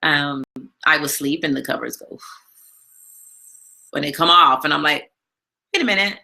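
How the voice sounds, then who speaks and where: A woman hosting a live stream from home, chatting casually with lots of fillers and a big, loud voice. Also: low-pitched, normal speed, gravelly. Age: adult with a daughter. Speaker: live stream show host. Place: home, not her usual studio.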